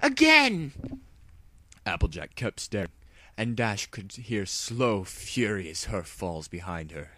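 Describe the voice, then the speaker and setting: A man's voice: low-pitched, unhurried, no room sound. A man narrating a dramatic spoof audiobook in a theatrical, hammy storytelling manner.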